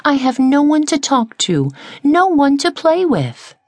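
A woman voicing a tiny, shy young female caterpillar, high and pleading, close to tears. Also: a little slow, darkish tone, no echo.

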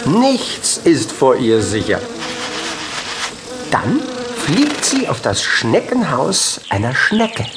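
A man narrating a children's audiobook expressively, in short measured phrases. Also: mid-range voice, huge pitch swings, background noise. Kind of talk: scripted children's story narration.

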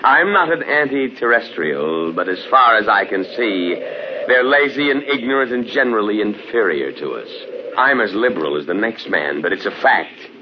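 An actor playing a smug, patronizing official in an old-time radio drama, in a conversational manner. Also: male voice, fairly low pitch, animated, normal speed, lightly acted. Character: condescending, worldly Imperial official, smugly prejudiced. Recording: dull old radio recording, faint noise.

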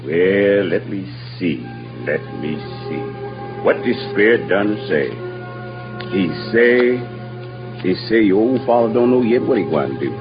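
A solemn folk fortune-teller speaks low, in heavy Southern dialect. He mutters incantations in the manner of 1940s radio acting. The recording is old and muffled, with clear music underneath. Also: lively intonation, unhurried. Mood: mysterious, solemn. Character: superstitious enslaved fortune-telling man, slow and solemn.

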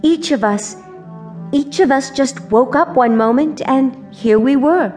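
A lost, gentle ballet dancer in a radio play. Her voice sits a little high, wistful and resigned, with soft music underneath. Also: unhurried. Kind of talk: radio drama acting.

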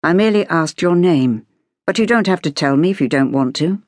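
A narrator playing an educated, patient gentleman academic in an audiobook, speaking in a measured, formal and precise way. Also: medium pitch, lively intonation, dry recording, close to an everyday voice. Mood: calm, reassuring.

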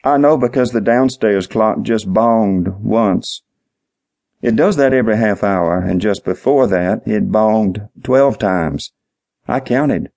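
A man's voice: lowish voice, slow pace, creaky, a little mellow, voice pushed up, exaggerated voice. A man voicing a dim-witted, eager hotel night manager in his sixties, with folksy, literal speech in an audiobook story. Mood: dim, earnest.

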